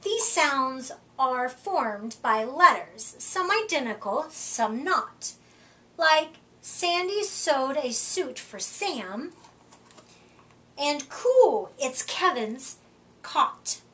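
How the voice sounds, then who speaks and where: A middle-aged woman reading a rhyming picture book aloud to children, with deliberate, expressive emphasis, recorded a short way from the mic. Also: sits high. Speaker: children's storytime reader. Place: indoor room, seated before a curtain. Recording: voice distant from the microphone.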